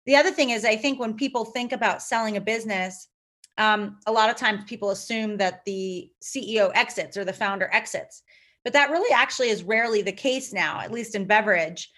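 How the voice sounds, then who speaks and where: A woman's voice: mid-range voice, brisk, fairly bright tone. A woman founder, whose first language is Lithuanian, answering interview questions in a thoughtful, rambling way with many fillers. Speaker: company founder and CEO.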